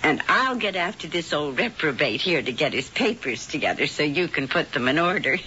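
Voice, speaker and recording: A sly witch wife, bossy yet syrupy, in theatrical radio style. Her bright, forceful voice sits on a dull recording with faint hiss. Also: low-pitched, sing-song, moderate pace, full character voice. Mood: gushing, brisk. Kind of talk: 1970s radio drama acting.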